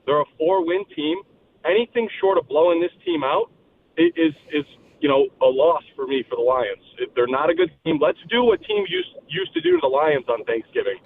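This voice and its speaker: A man's voice: a little high, very animated, a little fast, gravelly, a little hiss or hum. Brash male caller on a tinny phone line. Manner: brash, trash-talking fan. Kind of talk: sports radio call-in.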